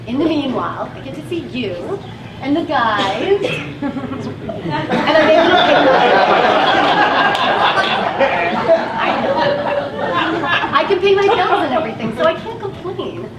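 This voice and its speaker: A woman's voice: high-pitched, sing-song, unhurried, pauses often, a loud crowd. An actress playing a patient, kindly woman bus driver in a live stage play, speaking in a reassuring, conversational way. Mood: upbeat, friendly. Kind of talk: live one-act stage play dialogue. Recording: echoey stage far off, noisy audience.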